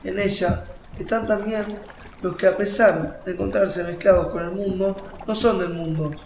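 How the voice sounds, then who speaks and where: A man's voice: high-pitched, steady tone, moderate pace, creaky, darkish tone. An actor playing a young, devout fisherman in a radio drama, earnest and insistent. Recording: roomy, faint noise, water ambience.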